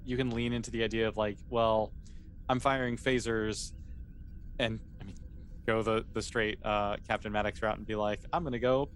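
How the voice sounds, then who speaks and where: A man's voice: on the low side, fairly even intonation, normal speed, a little hiss or hum. A man speaking as an easygoing, encouraging game master, casually narrating a tabletop game and chatting with players.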